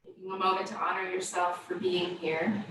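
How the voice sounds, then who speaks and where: A woman teaching yoga, guiding a body scan with gentle, invitational step-by-step cues. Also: lowish voice, little pitch movement, normal speed. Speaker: yoga instructor. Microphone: distant microphone. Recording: very echoey room, distant mic, faint noise.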